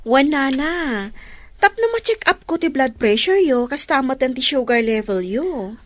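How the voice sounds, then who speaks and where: An actress playing a friendly, caring young village midwife in a Filipino radio drama, with a Filipino accent. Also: female voice, high-pitched, expressive, a little slow. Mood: caring, respectful.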